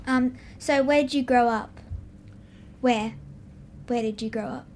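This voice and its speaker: Brief: woman interviewer, slightly high voice, short prompting questions, faint noise behind. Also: a little slow, a little mellow.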